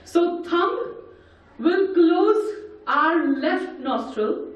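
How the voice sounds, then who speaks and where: A husky, high-pitched woman with an Indian English accent guides a group through breathing drills, counting each step aloud like a patient instructor. Her voice comes from some distance in a big, very echoey hall. The sound is dull and muffled. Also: animated, drawn-out words. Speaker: voice and breathing workshop leader. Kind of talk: leading a group workshop with breathing and sound exercises.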